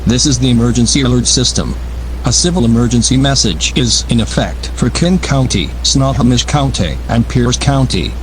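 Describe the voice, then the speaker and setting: A man's voice: medium pitch, natural voice. A man playing an impersonal announcer reading an official emergency alert broadcast. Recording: faintly noisy broadcast recording.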